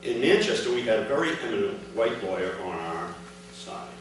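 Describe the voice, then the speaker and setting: Echoey, distant, low-voiced man reading aloud. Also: big pitch swings. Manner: measured public delivery in short, separated phrases. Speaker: reader of his father's written memoir at a commemoration. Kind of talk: reading a prepared first-person account aloud to an audience. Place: large echoey room at an event, recorded from afar. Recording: echoey room, distant microphone, clear background noise.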